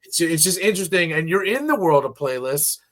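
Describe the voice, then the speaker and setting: A man's voice: fairly high pitch, animated, brisk, a bit bright. Rambling male radio host. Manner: rambling, many fillers and restarts. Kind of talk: casual interview chat.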